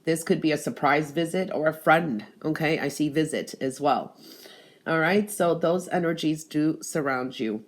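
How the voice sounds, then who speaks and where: Congested low-voiced woman giving a reading. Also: normal speed. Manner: hesitant, frequent "um" and "I do feel that energy". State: congested from allergies. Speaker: tarot and gemstone reader. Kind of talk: intuitive reading monologue.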